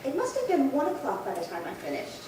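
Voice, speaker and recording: A woman's voice: high voice, very animated, fast talker. Woman playing fussy elderly lady, very echoey. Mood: chatty. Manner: rambling, fussy, politely fluttery. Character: chatty, dithering elderly single woman reporting a theft. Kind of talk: old-time radio drama acting. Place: live theatre performance space. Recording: very echoey hall, distant, faint noise.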